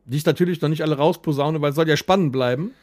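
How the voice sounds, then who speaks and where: Blunt man, higher-pitched, dry sound. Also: very fast, darkish tone, no echo. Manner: blunt, colloquial, profane. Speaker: podcast co-host and band member. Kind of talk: casual podcast chat.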